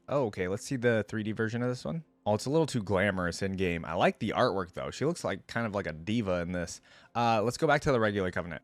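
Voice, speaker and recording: A man's voice: mid-range voice, no room sound. A man giving casual, informal reaction commentary, enthusiastic and thinking aloud as he goes. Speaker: reaction video host.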